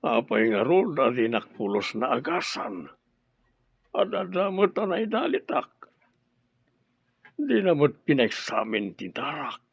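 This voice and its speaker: Man acting a husky, ailing, troubled man. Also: medium pitch, very animated, a little slow, pauses often, dry recording, lo-fi sound, exaggerated voice. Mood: suspicious. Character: worried, suspicious man recovering from poisoning. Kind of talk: audio drama acting.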